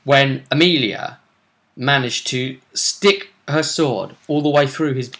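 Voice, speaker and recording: A man's voice: medium pitch, slow pace, a bit bright. A man hosting a casual recap of a tabletop role-playing session, telling the fights like stories. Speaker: host and game master recapping a session.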